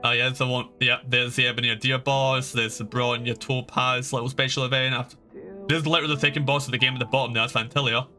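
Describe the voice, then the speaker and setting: A man's voice: mid-range voice, level tone, fast, pushed and bright. A man giving casual livestream game commentary in a rambling, informal, emphatic way. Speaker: game streamer. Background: game music.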